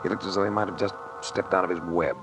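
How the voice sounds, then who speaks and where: A male actor plays a hard-boiled investigator from a 1950s radio detective show. His lowish voice is cool, dry and terse, heard through the clear noise of an old broadcast recording. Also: steady tone, fast, natural voice. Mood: wry, narrating. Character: cool, tough, principled male insurance investigator, narrating.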